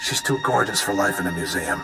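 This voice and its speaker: A man's voice: deep voice, lively intonation, fairly quick, vocal fry, music in the background. An actor playing a cocky male rogue smuggler captain in a video game, casual, mocking and smug. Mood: gloating, taunting.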